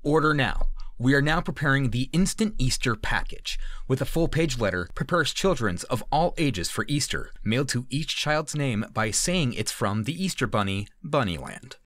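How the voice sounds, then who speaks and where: A man's voice: mid-range voice, expressive, moderate pace, a bit bright, no echo, close to an everyday voice. A man voicing a salesman reading old mail-order advertising copy in an announcer style for a podcast. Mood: eager selling.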